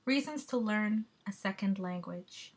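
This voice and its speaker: A woman giving a measured, explanatory class presentation, with the odd filler. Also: mid-range voice. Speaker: student presenter.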